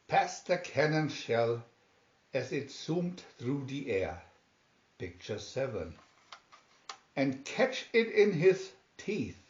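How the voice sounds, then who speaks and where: A man reading a children's story aloud in a deliberate, dramatic storytelling manner. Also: medium pitch. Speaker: storybook reader. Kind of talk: scripted children's story narration, read aloud. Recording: roomy, slightly dull home recording.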